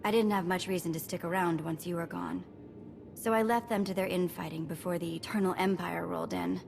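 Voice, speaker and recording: Sassy thief in echoing space. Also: female voice, lowish voice, steady tone, fairly quick, gravelly, background noise. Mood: warm, chatty. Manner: casual, slangy, teasing. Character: sassy, playful female thief, breezy and streetwise. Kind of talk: video game cutscene acting. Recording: long reverb, music behind.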